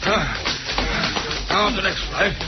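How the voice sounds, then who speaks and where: An actor playing a blustering, self-important French policeman in an old radio horror drama, bossy and excitable. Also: sits high, takes it slowly, loud music behind the voice, heavily acted. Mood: urgent, commanding. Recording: muffled vintage radio with noisy crowd.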